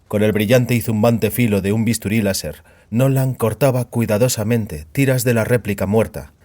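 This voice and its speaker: A man's voice: on the low side, a little mellow. A man narrating scripted audio fiction as a storyteller.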